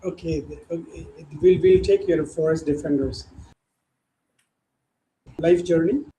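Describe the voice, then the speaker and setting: A man hosting an interview show, asking questions haltingly and repeating words as he phrases them. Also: a little high, mellow. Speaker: show host. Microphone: remote video call. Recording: echoey room with faint background hum.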